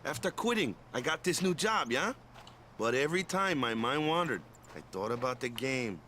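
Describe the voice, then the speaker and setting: A man's voice: mid-range voice, expressive, moderate pace, sharp tone, a little hiss or hum. A man voicing an easygoing, humble young island athlete in a video game, with a laid-back, informal drawl. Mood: wistful. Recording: game audio with music over it.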